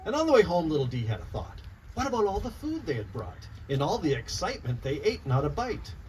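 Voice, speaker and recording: Animated man reading aloud, high-pitched and lively. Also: a little fast. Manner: expressive, addressing young listeners. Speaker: children's author reading aloud. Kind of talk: animated picture-book read-aloud in rhyme. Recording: noisy, distant mic, live room ambience.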